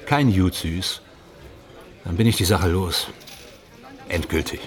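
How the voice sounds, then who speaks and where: An actor playing an anxious, evasive, self-doubting man in a German radio drama, speaking in halting, clipped phrases. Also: deep voice, a crowd in the background. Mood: resigned, evasive. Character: anxious, evasive film actor dreading a screen test.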